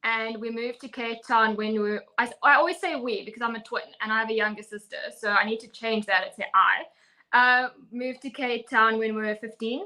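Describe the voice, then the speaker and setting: A young woman from Zimbabwe telling her own story in an interview, earnest and firm. Her voice sits a little high and sounds bright and forward. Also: steady tone, a little fast, low-quality recording. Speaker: fitness coach and guest.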